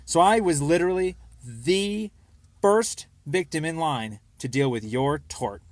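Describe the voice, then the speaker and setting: A man's voice: a little high, lively intonation, measured pace, dry recording. A young American man leaving a rambling personal voicemail, speaking in an insistent, aggrieved and repetitive way.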